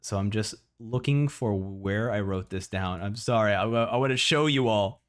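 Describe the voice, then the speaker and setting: A man in a casual livestream reaction, talking excitably and often repeating words as he thinks out loud. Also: lowish voice, normal speed, clear, resonant tone. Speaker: streamer reacting to a video.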